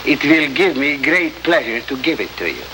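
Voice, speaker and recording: An actor playing a scornful, menacing Latin American newspaper editor in a 1950s radio drama, with a sarcastic, grandiloquent delivery. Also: male voice, medium pitch, sing-song, normal speed, lightly acted. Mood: threatening. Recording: noisy old radio broadcast recording.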